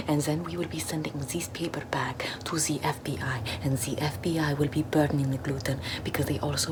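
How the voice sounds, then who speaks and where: A young woman doing a parody ASMR role-play, whispering in a deadpan, mock-mystic way into a close camera in a small bedroom. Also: deep voice, noisy background. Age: young adult. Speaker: ASMR creator. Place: small bedroom with floral backdrop, indoors.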